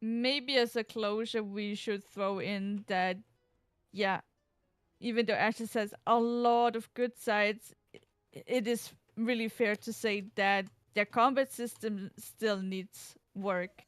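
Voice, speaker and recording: A woman streamer chatting casually about a game while playing, giving measured opinions. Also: mid-range voice, fairly even intonation, smooth, dry recording, clean studio sound. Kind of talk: casual gaming chat.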